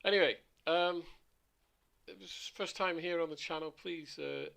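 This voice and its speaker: A man streaming a flight simulator, chatting casually with rambling asides, his voice a little hoarse. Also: a little high, fairly even intonation, a little slow, a bit bright. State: slightly hoarse. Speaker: flight sim livestreamer. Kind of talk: casual livestream commentary.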